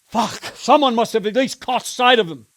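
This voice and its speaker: An actor playing a grimly determined medieval captain in a video game, authoritative and blunt. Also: male voice, extremely high pitch, moderate pace, a bit bright, no room sound. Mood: angry, commanding. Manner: authoritative, blunt, oath-swearing. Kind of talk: dramatic video game acting.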